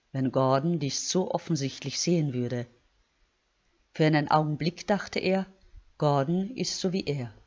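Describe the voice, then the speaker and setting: A woman reading scripted narration slowly and deliberately. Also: low-pitched, darkish tone. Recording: dull lo-fi sound.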